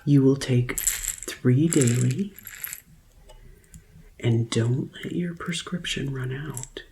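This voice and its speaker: Very low, slow, soft-spoken ASMR woman. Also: a little hiss or hum, low-quality recording. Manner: slow, gentle, deliberate customer-service delivery. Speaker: ASMR performer playing a vampire conversion receptionist.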